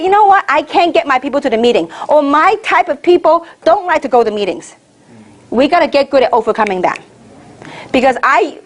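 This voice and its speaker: Energetic woman coaching a crowd. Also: high voice. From Hong Kong. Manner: energetic, rhetorical questions to the audience. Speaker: network marketing leader giving training. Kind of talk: motivational training talk. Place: meeting room with an audience.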